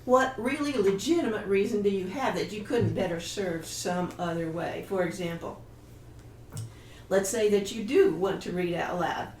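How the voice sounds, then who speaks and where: A woman's voice: medium pitch, normal speed, a little mellow, distant mic. Heard a little away from the mic in a reverberant room with faint noise, a woman argues her opinion. She is blunt and contrarian, posing emphatic questions as she discusses among fellow writers. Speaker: writer and panelist.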